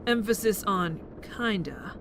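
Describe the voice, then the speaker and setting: A woman acting a thoughtful, soft-hearted teenage boy with dry wit, speaking gently and hesitantly in an audio drama. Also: mid-range voice, takes it slowly, close to an everyday voice. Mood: gentle, teasing. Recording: clear vehicle-like background noise.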